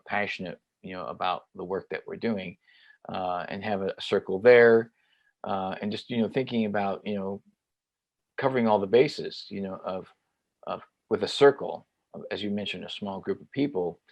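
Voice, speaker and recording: Halting, low-voiced male host. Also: no room sound. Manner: halting, searching for words. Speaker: show host. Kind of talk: conversational interview.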